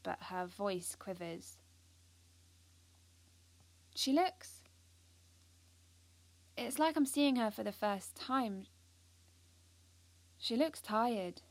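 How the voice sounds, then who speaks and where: An earnest schoolgirl narrates her own life in an audio drama. She is driven and anxious, with a precise, intense way of putting things. The voice sits at a middling pitch in a very dry recording. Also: fairly bright tone, dry recording. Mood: shaken. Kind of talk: first-person audio drama monologue.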